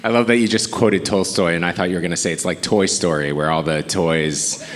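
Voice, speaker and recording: A man's voice: sits low, steady tone, brisk. A man interviewing a novelist onstage, encouraging and full of short affirmations. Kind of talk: live onstage conversation. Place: festival stage. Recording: long hall reverb.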